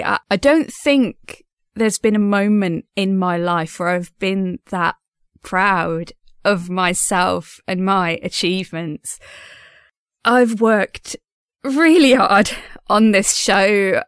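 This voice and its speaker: A woman's voice: mid-range voice, slow pace, smooth, dry recording, studio quality. A woman recording a casual personal audio diary as a podcast host, speaking in an earnest, enthusiastic and effusive way.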